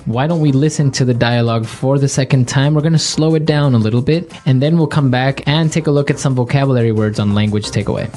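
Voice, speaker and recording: A man co-hosting a conversational English lesson, explaining and repeating phrases clearly as a teacher. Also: mid-range voice, quick pace, few pauses, darkish tone, soft background music. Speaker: podcast co-host, English teacher.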